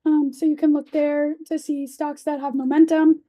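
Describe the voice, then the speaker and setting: A woman's voice: sits high, little pitch movement, moderate pace, warm tone. A young woman teaching assistant who is losing her voice gives tips to a class in a casual, informal way, using "kind of" and "you know.". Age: young adult. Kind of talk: classroom presentation. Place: lecture classroom.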